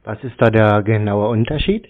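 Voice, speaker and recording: A man speaking in a deadpan, terse, mock-naive way during a prank phone call. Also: lowish voice, moderate pace. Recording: thin, dull phone-like sound.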